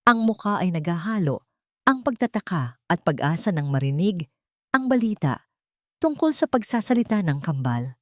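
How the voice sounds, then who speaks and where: A woman with a Filipino accent narrating a dramatic scripted story in deliberate, short phrases with pauses. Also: lowish voice, big pitch swings, dry recording, clean studio sound.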